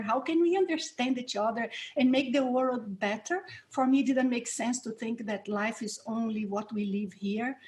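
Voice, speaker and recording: This is a middle-aged woman from Brazil with a clear Brazilian accent, speaking English as a second language. Her voice sits somewhat high. She explains things in an earnest, reflective way, with light laughs between thoughts. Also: a little mellow. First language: Portuguese. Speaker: guest researcher and instructor. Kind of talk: interview answers.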